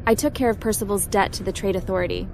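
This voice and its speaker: A woman's voice: medium pitch, fairly even intonation, quick pace, background noise, natural voice. A woman voicing a confident young female hacker and adventurer in a sci-fi roleplay game, with a breezy, self-assured manner. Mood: purposeful. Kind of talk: roleplay game protagonist dialogue and musings. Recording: game music over the voice.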